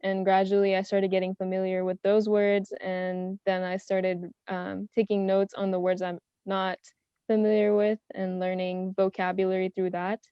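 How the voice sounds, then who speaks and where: A young woman answering questions as a panelist in a casual online round-table, soft-spoken and modest. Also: medium pitch, completely flat delivery, normal speed, smooth, no echo, lo-fi sound. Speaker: panelist, self-taught language learner.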